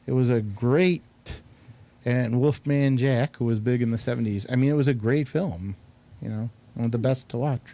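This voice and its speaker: Mellow-voiced man hosting a chat show. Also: mid-range voice, normal speed. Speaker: talk show host. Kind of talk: casual rambling round-table chat.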